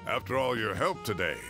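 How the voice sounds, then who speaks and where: An actor playing a genial, proud older retired professor in a video game, avuncular and gravelly. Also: male voice, fairly low pitch. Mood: jovial. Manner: animated, avuncular. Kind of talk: video game dialogue acting.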